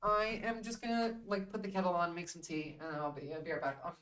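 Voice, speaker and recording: A woman's voice: on the low side, steady tone, brisk, fairly bright tone, recorded from a distance. A woman hosting a livestream in casual, opinionated chat, swearing freely and bluntly as she thinks aloud. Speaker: livestream host.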